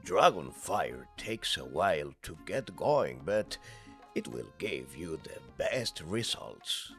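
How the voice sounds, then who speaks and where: Man acting a low, gravelly, slow-talking smith. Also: big pitch swings, a bit bright, faint music behind, full character voice. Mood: businesslike. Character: gruff, reluctant weapon smith who relents, fantasy shopkeeper. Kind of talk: animated fantasy fandub acting.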